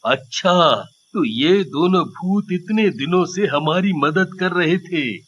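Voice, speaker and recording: A man voicing a humble, earnest family tailor in a cartoon story, speaking deliberately. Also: high voice, expressive, fairly bright tone, muffled recording, a little hiss or hum, exaggerated voice. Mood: earnest, grateful. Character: humble, earnest married tailor, a kindly father. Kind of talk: Hindi cartoon story dialogue.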